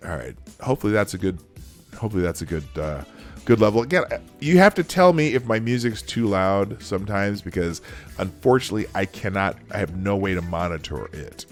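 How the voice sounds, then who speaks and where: A man hosts a casual livestream in a low voice that swings up and down a lot. He rambles and stops to correct himself. Faint music plays underneath. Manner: rambling, self-correcting, chuckling. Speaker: livestream host.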